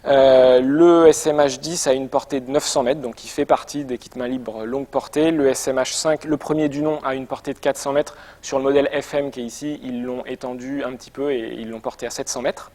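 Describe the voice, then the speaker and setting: A man's voice: medium pitch, steady tone, brisk, few pauses, mellow. A man presenting products in an informal, semi-improvised demonstration, explaining in a conversational and somewhat hesitant way. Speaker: product presenter for a motorcycle gear shop.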